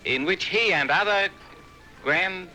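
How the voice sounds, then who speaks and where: A man's voice: high voice, slow pace, bright, forceful tone, soft background music, low-quality recording. A man giving a scripted broadcast commentary and stumbling over his words.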